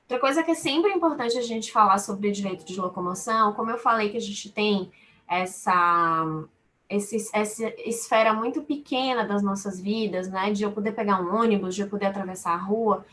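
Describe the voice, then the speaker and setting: A woman's voice: mid-range voice, normal speed, fairly bright tone, a little hiss or hum. A woman with a Salvador Brazilian accent giving an unscripted explanatory webinar talk in a didactic way. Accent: Brazilian, Salvador (Bahia). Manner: didactic, explains with everyday examples and repeated phrasing. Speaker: panel speaker, law firm lawyer.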